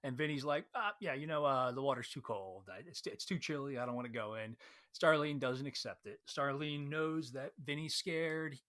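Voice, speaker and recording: A man giving a casual, conversational recap of a story as a read-along host, retelling it in an animated way. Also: medium pitch, normal speed.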